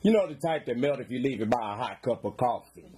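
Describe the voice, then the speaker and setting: A man's voice: medium pitch, expressive, quick pace, mellow, breathy edge. An American man in his thirties delivering comedic roast one-liners, tickled by his own jokes.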